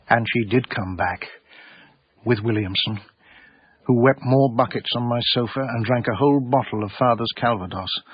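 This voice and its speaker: An actor playing a middle-aged, melancholy art dealer, narrating a radio drama in a measured, restrained, formal way. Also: male voice, lowish voice, husky. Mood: resigned calm. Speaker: narrator and lead character. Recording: slightly dull radio recording, roomy.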